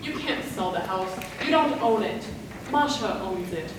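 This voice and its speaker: A woman's voice: mid-range voice, animated, measured pace, natural voice. A young woman acting a touchy, self-pitying middle-aged sister in a live amateur stage comedy. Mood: peevish. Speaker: actor in a school play. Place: large indoor stage in an auditorium. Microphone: distant camera microphone. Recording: echoey auditorium from far off, noisy.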